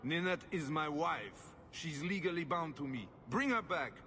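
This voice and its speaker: An actor playing a bitter, self-pitying middle-aged husband, petulant and scornful. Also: male voice, a little high, expressive, unhurried, bright, forceful tone, big-room sound, slight background noise, full character voice.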